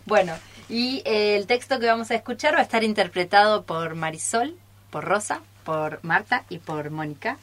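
A woman's voice: mid-range voice, normal speed, fairly bright tone. A woman with an Argentine accent informally presenting a radio theatre show live.

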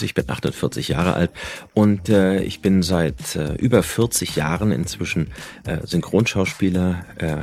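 Very deep-voiced man in his forties. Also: a little mellow. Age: 40s. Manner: hesitant, frequent filler sounds. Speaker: dubbing actor and dialogue director. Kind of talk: self-introduction to camera.

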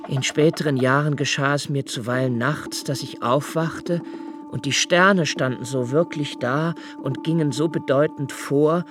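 A man's voice: on the high side, measured pace, close to an everyday voice. Actor as a pensive man, music behind. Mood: fervent. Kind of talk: literary first-person radio-play narration.

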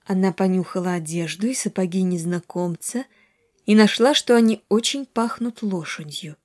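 Medium-pitched woman reading a story expressively. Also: moderate pace, darkish tone. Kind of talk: expressive scripted narration of a children's classic.

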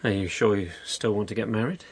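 An actor playing a provocative, cynical husband who needles people, in a radio drama. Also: male voice, fairly low pitch, quick pace, a little hiss or hum, natural voice. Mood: needling.

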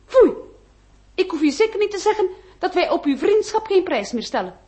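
A woman's voice: very high voice, sing-song, moderate pace, mellow. A woman acting a haughty, indignant middle-aged marchioness in a radio play, with pompous, formal diction. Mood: outraged, scornful. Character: haughty, indignant aristocratic marchioness, mother of a marriageable daughter.

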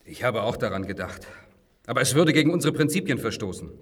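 An actor playing a sober, principled member of a hidden group in a German radio play, earnest and restrained. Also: male voice, mid-range voice, expressive, quick pace, husky.

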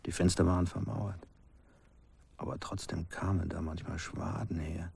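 An actor playing a grim villager recalling cruel childhood events, deliberate and hushed, in a radio play. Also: male voice, sits low, fairly even intonation, no echo, exaggerated voice. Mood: brooding, hushed. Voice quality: gravelly, husky, breathy. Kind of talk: slow dramatic radio play storytelling.

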